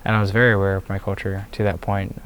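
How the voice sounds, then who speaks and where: A man's voice: sits low, level tone, fast talker, slight background noise. A young man from Hawaii who leads a student club, answering interview questions earnestly with many fillers.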